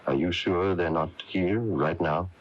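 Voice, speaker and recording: An actor playing a firm, knowing male confidant in a radio mystery drama, questioning deliberately and pressing for answers. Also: low-pitched. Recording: muffled 1980s AM radio tape, faint music.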